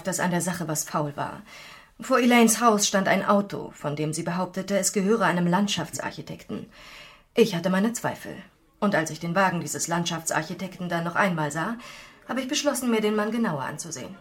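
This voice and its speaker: A shrewd female detective played by an actress in a crime radio play. She speaks in a fairly low voice, answering questions in an assured, matter-of-fact way. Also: expressive, moderate pace, lightly acted. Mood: matter-of-fact, confident.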